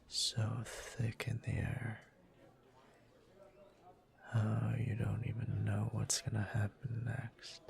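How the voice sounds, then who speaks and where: A man playing a teasing, dominant bully turned seductive lover in an intimate whispered roleplay audio, murmuring in a slow, smug, breathy way. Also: fairly low pitch, heavily acted.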